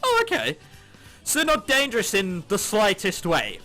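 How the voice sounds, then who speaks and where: A man's voice: high voice, wildly swooping pitch, measured pace, pushed and bright. A man reading a visual novel aloud, with sarcastic asides and swearing. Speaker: let's play host. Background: music.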